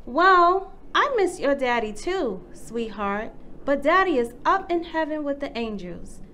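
A woman voicing a tender, patient mother in a children's storybook, speaking gently and affectionately. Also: high voice, sing-song, a little slow, a little hiss or hum, exaggerated voice. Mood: tender, soothing. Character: tender, patient mother of a four-year-old girl. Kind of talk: children's storybook read-aloud.

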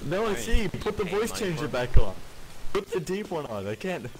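A man's voice: high voice, big pitch swings, normal speed. A young man chatting casually as he plays an online game over voice chat. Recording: very noisy game voice chat, clicks.

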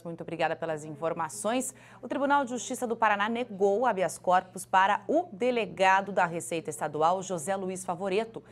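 A woman with a Brazilian Portuguese accent presenting the news from a TV studio in a crisp, scripted delivery. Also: mid-range voice. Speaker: news anchor.

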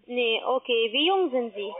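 A woman's voice: a little high, animated, measured pace. A woman with a foreign accent, a non-native speaker, questioning a customer as a call centre agent. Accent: foreign accent in German. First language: not German. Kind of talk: phone sales questioning. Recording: tinny narrowband phone line.

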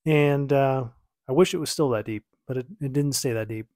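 A man's voice: medium pitch, normal speed, darkish tone, faint music behind. A man in his thirties casually reacting to his own old video from a small home office, rambling and correcting himself as he goes. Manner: rambling, self-correcting, easygoing. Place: small home office at night, lamp-lit.

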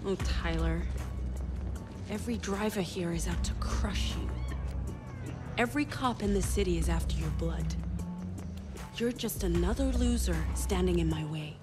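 A woman's voice: fairly low pitch, normal speed, pauses often, natural voice. Casting: actress for arrogant young woman crime boss, video game villain, menacing and taunting, music behind. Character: ruthless, arrogant young female street racing crime boss. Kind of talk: video game cutscene acting.

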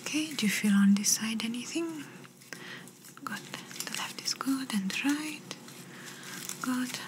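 A woman playing a gentle, reassuring doctor in an ASMR exam roleplay, speaking in a soft breathy whisper with slightly phrasing. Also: sits high, measured pace. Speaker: ASMR performer. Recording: noisy close-up whisper recording.

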